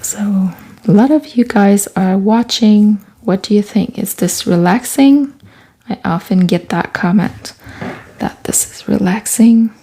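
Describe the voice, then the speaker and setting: Soft, slow-talking woman. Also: medium pitch, husky. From Quebec, Canada. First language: French. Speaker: artist and author vlogger. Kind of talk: casual talk while doing collage. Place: small home studio room.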